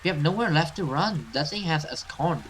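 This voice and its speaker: A man's voice: a little high, expressive, fairly quick, a bit bright, slight background noise, pitched above the performer's own voice. A man voicing an urgent, resolute horror game companion in an insistent, dramatic way.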